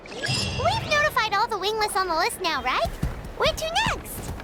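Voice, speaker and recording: A woman voicing a small, chirpy, childlike floating game companion with a squeaky, blunt, inquisitive delivery. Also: big pitch swings, a little slow, heavily acted. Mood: curious. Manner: exclaiming, inquisitive. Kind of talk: video game voice acting. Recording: loud music behind.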